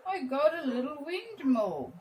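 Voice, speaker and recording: Woman, Afrikaans accent, painting teacher, slow and chatty, fairly high voice, echoey room. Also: big pitch swings, darkish tone. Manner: chatty, talks to viewers while painting, playful exclamations. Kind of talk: casual step-by-step painting lesson. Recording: echoey room with faint background noise.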